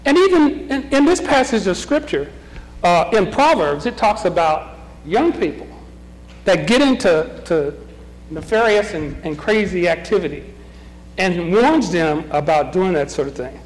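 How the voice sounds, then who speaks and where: High, lively Bible study leader. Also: male voice, a little slow. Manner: questioning, chuckling, restarts often. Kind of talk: leading a group Bible discussion. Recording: reverberant meeting room.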